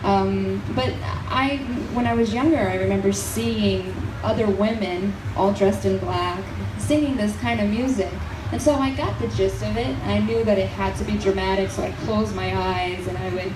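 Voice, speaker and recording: A young woman from New Jersey who grew up speaking Portuguese talks earnestly about her music, pausing with fillers as she reflects. She sounds set back from the mic, with a roomy echo. Festival noise carries clearly behind her. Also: mid-range voice, steady tone. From New Jersey, United States. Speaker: fado singer, interview guest. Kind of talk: casual interview. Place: outdoor festival. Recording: echoey festival crowd noise from far off.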